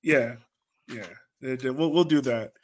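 A man's voice: medium pitch, unhurried. A man hosting a podcast with casual sports chat, talking like a rueful, self-deprecating fan. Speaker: podcast host.

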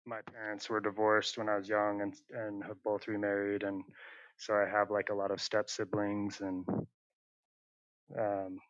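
A young man from northern Utah speaks in a low, fairly flat voice, working through his answers with plenty of fillers and restarts. The sound is dry and a little dull. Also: measured pace, no echo. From northern Utah, USA. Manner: hesitant, many fillers and restarts. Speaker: interview guest, muralist. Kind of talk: casual conversational interview.

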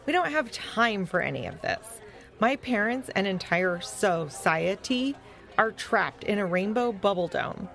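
A woman's voice: mid-range voice, sing-song, unhurried, creaky, fairly bright tone, faint music behind, exaggerated voice. A woman voicing a hot-tempered, impatient young fennec fox in a children's story, urgent and indignant. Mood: annoyed, urgent.

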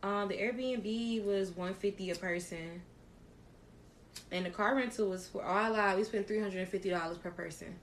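A woman hosting a casual podcast, talking loudly with crude jokes and laughter. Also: medium pitch, moderate pace, a little hiss or hum, rough recording. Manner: loud, laughing, crude joking. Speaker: podcast host. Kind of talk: casual chat.

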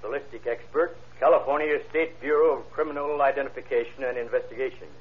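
A man's voice: medium pitch, fairly even intonation, quick pace, close to an everyday voice. Clipped expert witness played by a man, muffled radio. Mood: matter-of-fact. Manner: clipped, factual answers. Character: matter-of-fact police ballistics expert on witness stand. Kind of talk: 1930s radio acting. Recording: muffled old radio recording.

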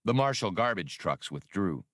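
A man's voice: low voice, big pitch swings, moderate pace, a bit bright, no room sound, studio quality. A man reading adventure fiction as an audiobook narrator, in a scripted, dramatic storytelling style.

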